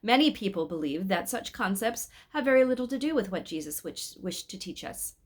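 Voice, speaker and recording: A woman's voice: mid-range voice, expressive, a little fast, lightly acted. A woman voicing learned, skeptical wise men in a storybook, in a pedantic manner.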